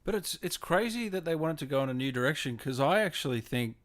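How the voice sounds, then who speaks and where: A man's voice: on the high side, lively intonation, fast talker. A man hosting a gaming interview, chatting casually and reacting briefly to his guest. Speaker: gaming show host, interviewer.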